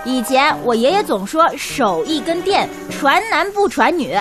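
A woman's voice: sits high, sing-song, measured pace, music in the background. A woman acting a scatterbrained, outspoken young food lover in a radio drama, chatty and impulsive. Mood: chatty, upbeat. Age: young adult.

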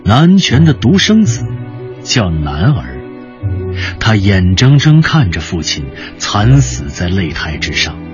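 Male radio drama narrator, deep and expressive, slightly muffled recording with music underneath. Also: takes it slowly.

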